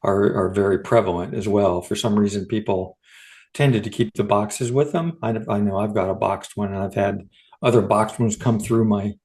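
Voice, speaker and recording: Male American podcast host, middle-aged, somewhat low pitch, informal conversational delivery. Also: fairly even intonation, moderate pace, a little mellow. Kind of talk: casual discussion.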